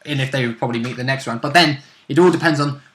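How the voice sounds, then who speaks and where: A man's voice: mid-range voice, fast, a bit bright, a little hiss or hum. A man co-hosting a casual tabletop game chat, explaining rules and characters in an informal, speculative, rambling way. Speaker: co-host and player in a tabletop role-play series.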